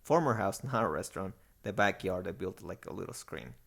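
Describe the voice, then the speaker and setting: A man's voice: low-pitched, lively intonation, a little fast, creaky, fairly bright tone, husky. A man with a Mexican accent co-hosting a podcast in casual chat, self-deprecating and often searching for words. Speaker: podcast co-host.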